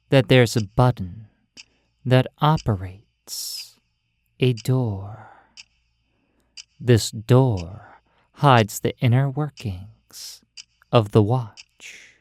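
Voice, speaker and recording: Hypnotist for guided sleep imagery: soothing, deliberate, very slow delivery with long pauses, very dry sound. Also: medium pitch, darkish tone, no echo. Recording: faint ticking clicks behind dry close voice. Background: ticking pocket watch.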